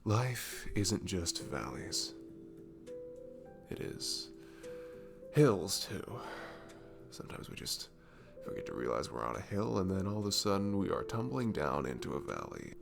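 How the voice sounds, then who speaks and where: A man playing a sweet, goofy, comforting barista in an intimate ASMR roleplay, speaking softly and haltingly with a faint Southern US accent. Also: low-pitched, big pitch swings, creaky, over music, exaggerated voice. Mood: gentle, consoling. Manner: soft, halting, chuckling, drifting into near-whispers. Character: cute, goofy, comforting young male barista, sweet and self-deprecating.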